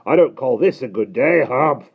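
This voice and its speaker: A man's voice: mid-range voice, animated, normal speed, husky, phone-quality sound, pitched above the performer's own voice. A man voicing a blustering old admiral who roars his lines. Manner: roaring, blustery.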